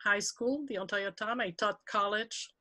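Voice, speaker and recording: A woman's voice: medium pitch, fairly even intonation, moderate pace, pushed and bright. A woman from France in her sixties with a French accent, a retired teacher chatting encouragingly through a video-call workshop. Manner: chatty, encouraging, laughs often. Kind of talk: professional development webinar talk.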